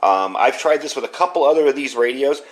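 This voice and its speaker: A man with an average-pitched voice walks through gear in a casual review. He explains informally and rambles a little, talking indoors at home. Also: lively intonation, fast talker. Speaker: product reviewer.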